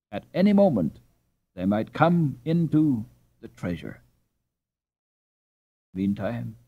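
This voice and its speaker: A man narrating an audiobook in an expressive, measured storytelling style with dramatic pauses. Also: fairly low pitch, breathy edge.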